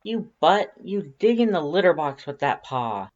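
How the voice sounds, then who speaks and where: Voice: mid-range voice, big pitch swings, a little slow, highs cut off, pitched above the performer's own voice. A narrator acting an awkward, casual, sardonic homebody. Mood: exasperated. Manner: hesitant, casual, self-deprecating. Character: awkward, sardonic, sleepy homebody telling their own day.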